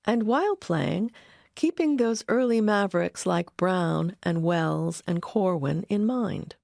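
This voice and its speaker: A woman's voice: fairly low pitch, expressive, takes it slowly, a little mellow, dry recording, clean studio sound. A woman reading scripted narration as the narrator of a documentary. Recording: clear music bed under voice. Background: music.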